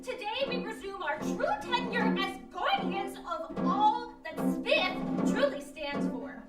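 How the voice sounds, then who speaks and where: Fervent dragon-folk commander: grand radio-play declaiming, very high pitch, distant roomy stage recording. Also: female voice, lively intonation, takes it slowly, full character voice. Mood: rousing, fervent. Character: fervent female leader of costumed dragon folk. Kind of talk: old-time radio comedy acting. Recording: roomy distant live stage, loud music behind.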